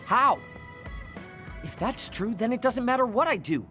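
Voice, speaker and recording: Young anime game fighter dude, touchy pride, blunt n intense, pitch all over, music under it. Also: male voice, sing-song, unhurried, pushed and bright, full character voice. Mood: frustrated, despairing. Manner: brusque, intense, self-critical. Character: proud, insecure young male platoon fighter. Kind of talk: English anime game dub acting.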